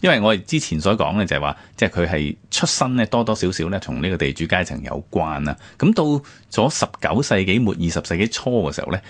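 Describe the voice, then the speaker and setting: A man's voice: low-pitched, very animated, quick pace, hardly any pauses, dry recording. A man with a Hong Kong Cantonese accent co-hosting a radio show, explaining history conversationally with frequent little tag interjections. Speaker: radio programme co-host.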